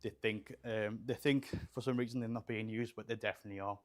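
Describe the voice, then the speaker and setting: A man's voice: fairly low pitch, fairly even intonation, fast talker. A young man from England, raised there with Polish roots, chatting casually and rambling with fillers as he builds a PC and reads out live chat. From Poland, raised in England. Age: young adult. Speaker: livestream host building gaming PCs. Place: small studio room with shelves.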